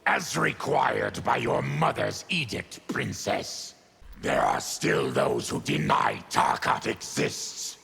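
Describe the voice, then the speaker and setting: A man voicing a feral, diseased monster warrior in a video game, with a gravelly rasp and a grave, menacing, formal manner. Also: fairly low pitch, wildly swooping pitch, slow pace, fairly bright tone, exaggerated voice. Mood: grim. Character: feral, diseased monster warrior, a proud brooding former tribune. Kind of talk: video game character acting.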